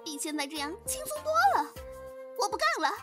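A woman's voice: high-pitched, big pitch swings, fairly quick. A woman playing a melodramatic, overworked servant girl in a comedy audio drama, whining and exclaiming with cheeky desperation. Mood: exasperated, whining. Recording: loud music behind the voice.

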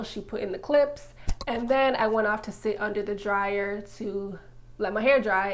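A woman in her twenties, a hair vlogger, narrating in a casual, chatty voice-over full of filler words like "like". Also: mid-range voice.

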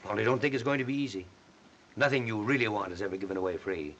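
A man's voice: fairly low pitch, fast, natural voice. A man playing a kindly, wry movie studio boss in an old film, avuncular and plain-spoken. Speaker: actor. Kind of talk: 1930s film acting. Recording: faintly hissy old film soundtrack.

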